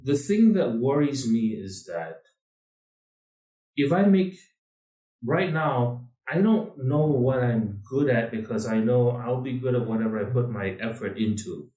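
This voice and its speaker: A man's voice: medium pitch, expressive, unhurried, mellow, reverberant, distant mic, low-quality recording. A man co-hosting a casual podcast, talking in a rambling way with many restarts and fillers. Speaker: podcast co-host.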